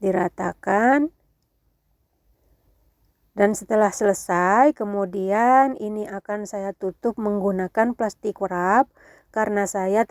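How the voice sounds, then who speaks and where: A woman with a nasal, congested voice from a cold gives a casual cooking tutorial, gently instructing her viewers. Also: medium pitch, no echo, studio quality. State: has a cold. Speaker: home cooking video host.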